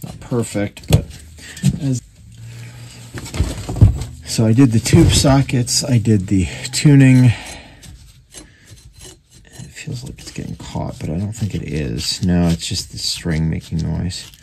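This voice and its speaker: A man talks through a repair in a very low, gravelly voice. His delivery is unscripted and halting, as he thinks aloud while he works. There is clear background noise in the workshop recording. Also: mellow. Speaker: radio repairer demonstrating his work. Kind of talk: casual hands-on repair commentary. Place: indoor workbench. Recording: noisy workshop recording with clicks.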